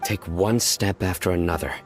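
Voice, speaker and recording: A man playing a stern, disciplined young desert enforcer in a video game, speaking in a serious, measured, formal way. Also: sits low, music in the background, natural voice. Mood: steady, resolute.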